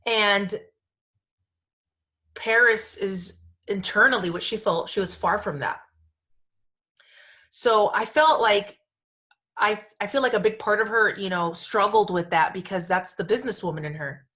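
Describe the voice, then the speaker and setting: A woman's voice: mid-range voice, unhurried, long pauses, pushed and bright. Gushing, chatty woman podcast host. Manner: gushing, emphatic, informal with frequent "like" and "you know". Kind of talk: casual chatty discussion.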